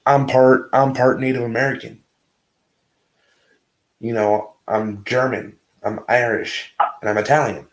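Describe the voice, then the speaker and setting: A man's voice: medium pitch, fairly even intonation, a little slow, long pauses. Guy hosting a stream, earnest, keeps stumbling n repeating himself, echoey room. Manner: earnest, stammers and repeats words. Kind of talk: casual heated chat.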